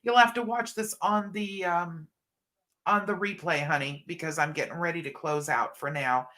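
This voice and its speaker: A woman's voice: lowish voice, normal speed, pushed and bright. A middle-aged woman hosting a casual livestream, stuffed up and a bit scratchy from allergies, chatting affectionately with viewers. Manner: affectionate, chatty, calls viewers hun and honey. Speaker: livestream host. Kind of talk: casual livestream chat reading comments.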